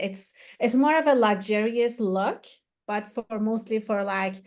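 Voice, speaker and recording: A woman, talking chattily with many fillers and restarts as an interview guest. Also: medium pitch. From Iran. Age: 40s. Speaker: guest, activewear founder. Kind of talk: casual interview talk.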